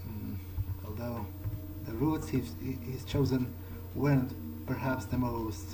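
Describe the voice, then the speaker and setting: Man as a hesitant vampire fledgling, medium-pitched. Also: steady tone, slow, noisy background. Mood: musing, hesitant. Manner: hesitant, thinking aloud. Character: cautious young male vampire fledgling, wry and polite. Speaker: gamer playing in character. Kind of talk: improvised in-character game playthrough monologue. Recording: game music under the voice.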